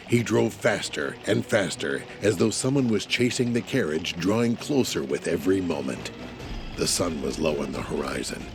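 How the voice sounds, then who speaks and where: A man's voice: low voice, gravelly. A man narrating a scripted audio drama in a dramatic storytelling style. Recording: music bed behind the voice. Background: music.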